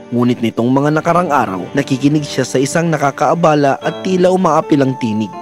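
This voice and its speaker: A man's voice: medium pitch, a little fast, music in the background. A man narrating a scripted fairy tale in a dramatic storytelling manner.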